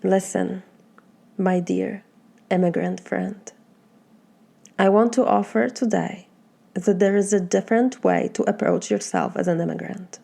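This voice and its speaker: A woman from Poland with a Polish accent, speaking as a life coach in a motivational talk and asking slow, earnest, reflective questions. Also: medium pitch, fairly even intonation, creaky, warm tone.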